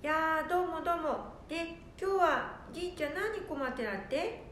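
Woman as high-pitched breezy old granny, echoey. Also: normal speed, a bit bright. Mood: curious. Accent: Nanbu dialect of northern Japan. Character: forgetful, breezy old grandmother. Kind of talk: amateur radio drama acting. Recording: very echoey room, faint rumbling noise.

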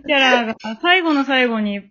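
A woman's voice: high voice, expressive, moderate pace, a crowd in the background. A woman with a Kansai accent chatting casually as a participant on a talk show. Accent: Kansai Japanese. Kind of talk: casual chat. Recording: muffled lo-fi audio.

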